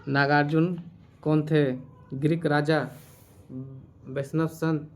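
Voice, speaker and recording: A man's voice: fairly high pitch, little pitch movement, slow pace. A man teaching as a quiz host, reading out questions and answers in an instructional way and repeating the answers. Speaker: quiz teacher for exam preparation.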